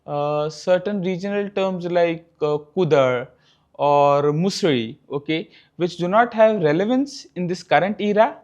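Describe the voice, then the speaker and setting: A man's voice: high-pitched, a little slow, darkish tone, dull audio. A young Indian man in his twenties whose first language is Marathi, speaking Indian English as a professor answering interview questions, checking in with "okay" after his points. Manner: explanatory, says "okay" often after points. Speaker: guest, professor.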